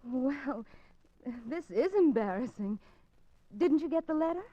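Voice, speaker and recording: A woman's voice: sits high, lively intonation, unhurried, dark tone, no echo. An actress playing an anxious, determined woman undercover in a 1950s radio suspense drama, with coy, hesitant delivery. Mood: coy, flustered. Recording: dull 1950s radio recording.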